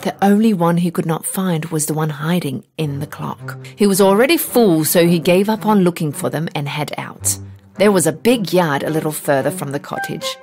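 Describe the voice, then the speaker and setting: A woman narrating a children's fairy tale in an expressive, dramatic storytelling manner. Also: low voice, moderate pace, faint music behind.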